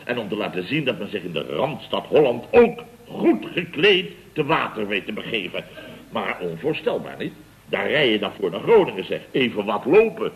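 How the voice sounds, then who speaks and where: Pompous, animated contractor boss. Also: male voice, lowish voice, moderate pace, vocal fry, full character voice. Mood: indignant, boastful. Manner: pompous, rambling, chuckling raconteur with exclamations. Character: blustering, self-important building contractor boss, comically indignant. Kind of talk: 1960s-70s Dutch radio comedy acting. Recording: dull vintage radio recording.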